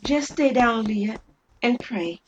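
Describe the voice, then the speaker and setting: A woman's voice: a little high, animated, takes it slowly, a little hiss or hum, low-quality recording. A woman voicing a caring, earnest lady who prays and protects, in an audio drama. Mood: protective, gentle. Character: caring praying lady, mysterious guardian angel.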